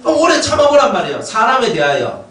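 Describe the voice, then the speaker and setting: A male pastor with a Gyeongsang Korean accent delivers a lively, joking sermon, his pitch jumping up and down. His voice sits fairly high for a man. It is picked up from a distance in an echoey church hall, with faint noise underneath. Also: quick pace. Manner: animated and joking, acts out quotes in dialect. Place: church sanctuary.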